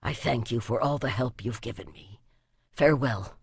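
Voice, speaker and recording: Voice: medium pitch, moderate pace, gravelly, slightly rough, no echo, clean studio sound, voice pushed down. Grim doomed warrior, as a narrator voices him. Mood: grim, resigned whisper. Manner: grave, resolute. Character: grim, doomed male warrior defending a citadel. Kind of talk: audiobook character dialogue.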